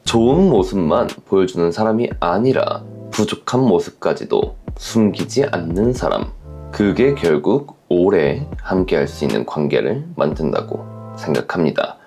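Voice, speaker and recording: A man with a low, gravelly voice presents scripted relationship commentary as a host. He speaks formally and reflectively, with noticeable rise and fall in his intonation. Faint music plays underneath. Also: big pitch swings, moderate pace, warm tone. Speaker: host of a relationship story channel. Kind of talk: scripted advice commentary to camera or listeners.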